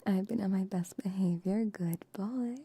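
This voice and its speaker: Young woman whispering asmr into shotgun mics, slow and dark, breathy husky tone, teasing and sweet. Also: medium pitch, no room sound. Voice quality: soft whisper, mostly unvoiced. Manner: affectionate, teasing pet-play talk to viewers. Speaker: streamer. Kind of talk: whispered ASMR live stream chat. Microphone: shotgun microphones close to the mouth.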